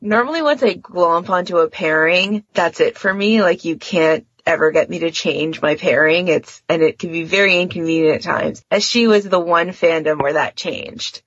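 Woman, podcast host, slightly low voice, creaky fry, informal explaining, dull close lo-fi recording. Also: normal speed, few pauses, a bit bright, no echo. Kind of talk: casual fandom podcast discussion.

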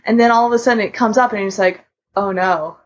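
A woman's voice: medium pitch, fairly quick. A woman chatting casually as a podcast panelist, on a slightly muffled recording. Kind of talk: casual chat.

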